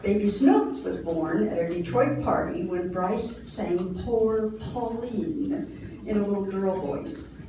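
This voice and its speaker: A woman's voice: mid-range voice, takes it slowly. A woman announcer reading a scripted introduction for a radio comedy show. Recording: very echoey, distant, faintly noisy room.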